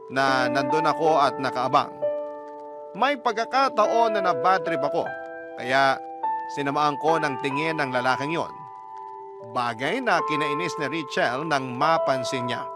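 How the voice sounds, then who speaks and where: A man's voice: medium pitch, moderate pace. A man reading a first-person love story as scripted radio-style narration. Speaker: storyteller reading a listener's letter. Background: prominent music bed.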